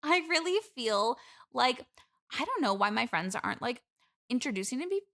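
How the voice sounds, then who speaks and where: Woman acting a needy, gushing bridesmaid with creaky brightness. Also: fairly high pitch, big pitch swings, normal speed, heavily acted. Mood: wistful, needy. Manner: gushing, clingy, chatty, heavy use of like. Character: overeager, needy single bridesmaid desperate for friends, gushing. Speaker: interview guest. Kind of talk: improvised comedy interview chat.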